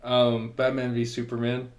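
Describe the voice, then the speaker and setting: Casting: male podcast co-host, medium-pitched, tongue-in-cheek, casual joking movie talk. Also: monotone, normal speed. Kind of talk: casual joking film chat.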